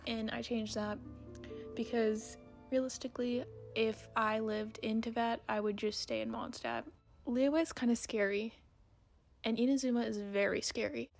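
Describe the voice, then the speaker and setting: A young woman giving a casual, chatty voice-over in a rambling, self-deprecating way. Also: a little high. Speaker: video creator and artist. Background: background music.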